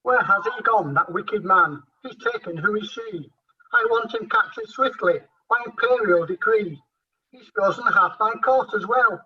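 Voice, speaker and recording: A man playing a blustering, henpecked emperor in a rhyming pantomime, with a husky, forceful voice. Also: high-pitched, normal speed, distant mic, lo-fi sound. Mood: agitated. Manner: blustering, imperious. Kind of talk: rhyming amateur pantomime acting.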